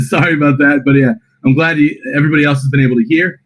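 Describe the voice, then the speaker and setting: A tired man with a slightly raspy voice, reading out community questions on a voice call and answering them casually with plenty of fillers. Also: fairly high pitch, quick pace. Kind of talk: casual Q&A on a voice chat.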